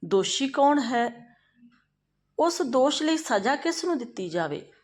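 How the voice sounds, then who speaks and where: Royal minister from a folk tale, insistent questioner, voiced by a woman with a fairly high pitch. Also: very animated, measured pace. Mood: questioning.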